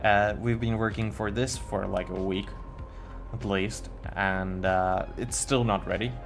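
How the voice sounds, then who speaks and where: A man's voice: lowish voice, steady tone, measured pace, soft background music. A young man from Finland with a Finnish accent, chatting casually on a game live stream and stumbling over his words. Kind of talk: casual live-stream chat while building.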